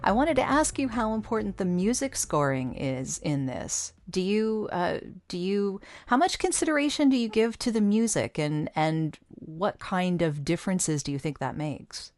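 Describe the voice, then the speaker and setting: A woman's voice: medium pitch, expressive, normal speed, few pauses, a little mellow. A woman hosting and interviewing on an audio podcast. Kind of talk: scripted podcast intro and casual interview.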